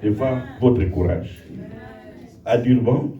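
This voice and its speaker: A visiting preacher giving a testimony sermon in short phrases, pausing often so each line can be interpreted. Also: male voice, medium pitch, narrow, tinny audio. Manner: short phrases paused for interpreting, rallying the crowd. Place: church hall. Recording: echoey hall, distant mic, crowd.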